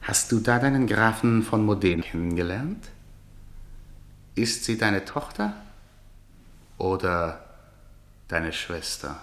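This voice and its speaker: An actor playing a sardonic, grumbling playwright and jealous lover in a German radio drama, with a mocking, theatrical, teasing manner. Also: male voice, lowish voice, lively intonation, slow pace, pauses often. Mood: grumbling, suspicious. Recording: roomy radio play with faint noise.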